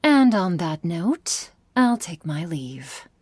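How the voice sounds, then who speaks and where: A woman's voice: fairly low pitch, very animated, slow, a little mellow, husky, no echo, heavily acted. A woman voicing a grave, worried vampire messenger in an ASMR roleplay, speaking in a stern, formal, urgent manner.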